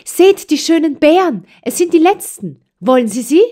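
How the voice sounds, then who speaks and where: A woman's voice: high voice, sing-song, normal speed, warm tone, voice pushed up. A woman playing a breathless, indignant young girl. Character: spirited young mountain girl, proud and stubborn.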